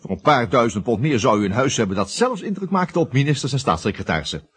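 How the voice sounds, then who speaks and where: An actor playing a cheeky, ambitious junior estate agent in a radio play, bluffing and flattering hesitantly. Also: male voice, mid-range voice, quick pace, husky. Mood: oily, persuasive. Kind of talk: Dutch radio drama acting.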